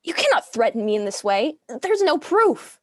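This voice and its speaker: A woman's voice: high-pitched, very animated, normal speed, no room sound. Woman playing a proud widow, dry close sound. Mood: defiant. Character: grieving, defiant widow bent on revenge. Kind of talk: dramatic script reading.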